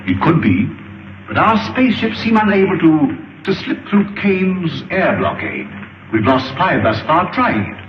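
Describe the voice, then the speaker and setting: A grave, learned man of science in a vintage film serial. He speaks formally and emphatically with a lively, slightly high voice. The soundtrack is dull and hissy. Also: a little slow, reverberant. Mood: grave, earnest. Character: grave, learned male scientist and leader of the future. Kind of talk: 1930s film serial acting.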